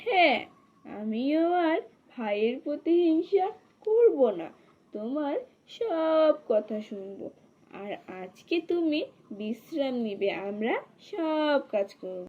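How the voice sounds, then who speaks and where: A woman's voice: sits high, sing-song, takes it slowly, full character voice. A woman voicing an earnest, tender-hearted little girl, a younger sister in a children's cartoon. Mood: remorseful. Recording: dull lo-fi voice track.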